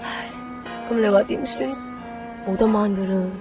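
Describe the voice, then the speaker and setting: A woman's voice: mid-range voice, unhurried, over music, close to an everyday voice. A woman acting a blunt, wistful, matter-of-fact teenage schoolgirl in a Cantonese radio drama, sighing, with a Hong Kong accent. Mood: resigned, knowing. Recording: dull lo-fi radio recording.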